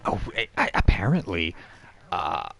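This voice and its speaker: A man's voice: deep voice, huge pitch swings, unhurried, vocal fry, fairly bright tone, a little hiss or hum, full character voice. Man putting on a gushing young woman paramedic. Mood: chatty, shaken.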